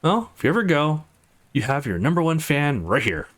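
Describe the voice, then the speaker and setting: Man acting upbeat teasing young protagonist, very animated. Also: a little high, moderate pace. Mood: warm. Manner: cheerful, playful, coaxing.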